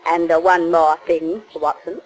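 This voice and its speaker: An actress playing a teasing, quick-witted detective's sidekick, playful and reasoning, in 1940s radio style. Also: female voice, fairly low pitch, little pitch movement, low-quality recording. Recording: 1940s radio broadcast recording.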